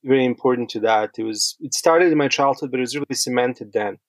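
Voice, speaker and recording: A man's voice: mid-range voice, fast, dry recording, poor audio. A man from rural Bosnia telling his story as a podcast guest, reflective and feeling his way through sentences. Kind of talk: conversational interview storytelling.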